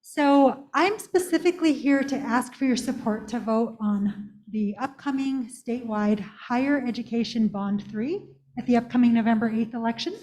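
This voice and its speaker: Woman, soft voice, slightly high pitch, polite formal presenter, speaking through a mask into a handheld mic. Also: rough recording. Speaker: community college representative. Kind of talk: prepared presentation to a village council. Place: council meeting room. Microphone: handheld microphone, speaking through a face mask.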